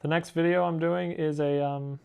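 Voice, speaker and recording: A man's voice: on the high side, steady tone, moderate pace, creaky, warm tone, smooth. Easygoing young man chatting on stream. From born in Korea, raised abroad. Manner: self-deprecating, chatty, laughs often. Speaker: artist live-streaming. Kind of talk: casual live-stream chat reading comments.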